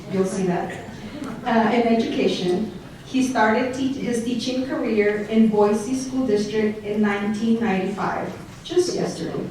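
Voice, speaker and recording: A woman's voice: mid-range voice, a little mellow, slight background noise. A middle-aged woman from Mexico with a Mexican Spanish accent, a school district director giving an award introduction at a banquet. She reads prepared remarks and adds joking asides to the audience. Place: large banquet hall. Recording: echoey hall recorded from far off.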